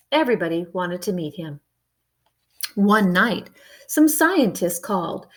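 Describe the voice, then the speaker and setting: A woman reading a children's picture book aloud, expressive and adding playful spoken asides. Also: medium pitch. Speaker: storybook reader.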